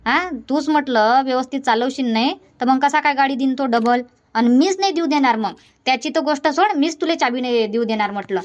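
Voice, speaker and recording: A woman's voice: high voice, brisk, dull audio, close to an everyday voice. A woman voicing a middle-aged village aunt with a Vidarbha Marathi accent, who lectures and scolds in long run-on stretches. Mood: lecturing.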